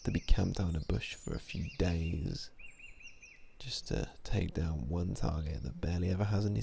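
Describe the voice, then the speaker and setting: Man acting as a deep, gravelly, brooding sniper. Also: mellow, slight background noise. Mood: weary, bitter. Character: weary, cynical vampire-hunting male sniper, an organization's assassin. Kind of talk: ASMR audio drama monologue.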